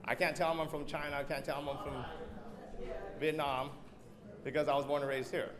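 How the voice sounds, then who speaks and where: A man's voice: fairly high pitch, level tone, a little fast, crowd noise. A man from San Diego giving a candidate's closing remarks at a public forum in an earnest, repetitive way, over a mic in a large hall. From San Diego, USA. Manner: earnest, repetitive speech-making. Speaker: council candidate. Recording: noisy crowded hall.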